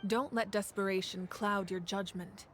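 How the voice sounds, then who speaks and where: A woman playing a calm, self-sacrificing female ruler in a video game, speaking in a measured, solemn, commanding way. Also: medium pitch, faint music behind, close to an everyday voice. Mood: solemn, resolute. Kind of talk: dramatic video game voice acting.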